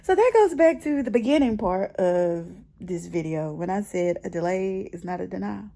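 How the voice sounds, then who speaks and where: A woman's voice: mid-range voice, lively intonation, moderate pace, creaky, mellow. A middle-aged American woman chatting casually in a personal vlog, telling her story expressively and repeating phrases for emphasis. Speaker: personal vlogger. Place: bedroom at home.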